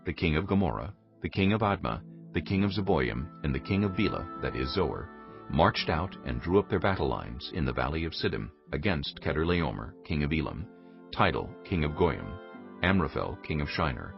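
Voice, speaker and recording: A man with an extremely deep voice narrates scripture as measured storytelling. His delivery is expressive and varied in pitch, over music on a slightly muffled recording. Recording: dull, band-limited stream with music. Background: clear music.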